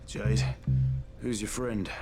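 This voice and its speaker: A man's voice: fairly low pitch, little pitch movement, slow pace, darkish tone, faint music behind. An actor playing a weary, battered male leader of survivors in a video game. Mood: weary doubt.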